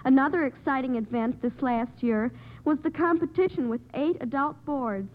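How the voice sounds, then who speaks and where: A young American woman with a very high voice reads a report on an old radio program. She is polished and enthusiastic, heard through a thin, narrow vintage recording. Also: moderate pace. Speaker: quiz show panelist. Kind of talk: reading a prepared report on radio.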